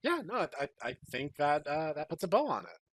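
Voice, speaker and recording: A man's voice: a little high, huge pitch swings, a little fast, breathy edge, dry recording, clean studio sound. A man hosting a podcast in casual chat, with a jokey, playfully theatrical way of phrasing things. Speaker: podcast host.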